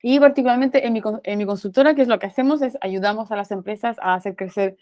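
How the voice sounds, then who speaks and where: A woman's voice: on the high side, fast talker, a little mellow, no echo, clean studio sound. A woman from Romania with a Romanian accent, giving a guest lecture to a university class over a video call, with frequent "eh" fillers and "¿no?" tag questions. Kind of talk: online university class talk.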